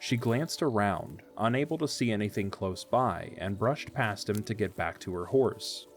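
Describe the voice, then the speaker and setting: A man narrates a romantic adventure story in a calm, measured way. His voice sits a little low. Soft background music plays faintly throughout. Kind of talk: scripted audio drama narration.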